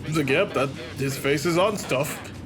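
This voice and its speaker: A man's voice: a little high, moderate pace. A man co-commentating on a video game with casual jokes. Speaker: let's play co-commentator. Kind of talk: casual joking banter. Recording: game music behind the voice. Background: video game music and effects.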